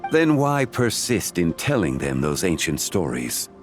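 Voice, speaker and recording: A man playing a calm, courteous, dignified god of contracts in a video game, speaking in a measured, formal way. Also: low-pitched. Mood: calm, persuasive. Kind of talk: scripted video game voice acting. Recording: music under the voice.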